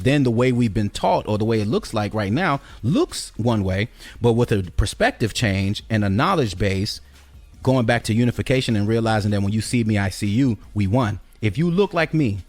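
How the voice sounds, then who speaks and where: A man's voice: mid-range voice, darkish tone. A man hosting a podcast, talking conversationally about spiritual and cultural ideas, emphatic and repeating key phrases for effect. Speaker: podcast host.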